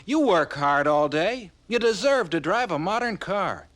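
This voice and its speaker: A man's voice: high voice, lively intonation, a little slow, fairly bright tone. An actor playing a chummy, persuasive American car salesman in a 1950s training film. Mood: reassuring, persuasive. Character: smooth-talking, reassuring male 1950s car salesman. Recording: vintage 1950s film soundtrack.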